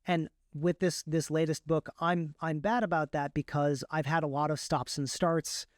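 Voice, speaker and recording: A man's voice: on the high side, fairly even intonation, moderate pace, no echo, clean studio sound. A man explaining his process as a guest in a casual interview, often repeating and revising his phrases as he goes. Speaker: guest, indie author and audiobook narrator.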